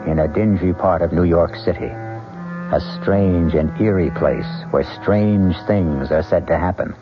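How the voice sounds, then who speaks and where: A man hosting a 1970s radio suspense drama, narrating from a script in an ominous, measured way. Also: sits low, music in the background. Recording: dull old radio broadcast.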